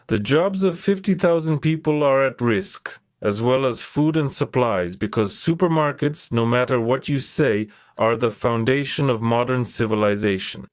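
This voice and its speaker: A male political commentator whose first language is Ukrainian, speaking in English with a smooth, clear voice. He talks in a wry, sarcastic way, tossing in asides about the clips he plays. The recording is dry and close, with no room sound. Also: medium pitch, normal speed, few pauses, no room sound.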